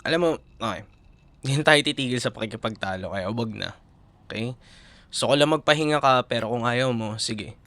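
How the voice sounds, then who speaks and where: Male actor as young Filipino boyfriend for audio drama: medium pitch, casual Taglish, coaxing, caring, easily exasperated. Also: brisk, long pauses, fairly bright tone, no echo, close to an everyday voice. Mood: frustrated, hurt. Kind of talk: boyfriend roleplay audio, intimate conversational acting.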